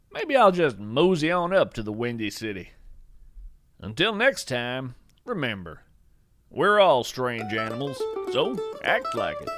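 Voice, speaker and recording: A man's voice: medium pitch, a bit bright, no echo, clean studio sound. A man playing a whimsical, earnest naturalist of strange creatures, narrating a fiction podcast in a folksy, musing, theatrical way. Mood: delighted, folksy.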